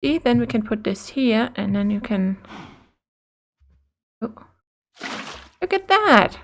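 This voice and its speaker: A woman's voice: on the high side, sing-song, creaky, a little hiss or hum. A woman with a Danish accent, chatting casually while playing a building game and explaining things as she goes. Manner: chatty, upbeat, quick laughs. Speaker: Minecraft teacher and co-host. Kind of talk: casual gaming chat.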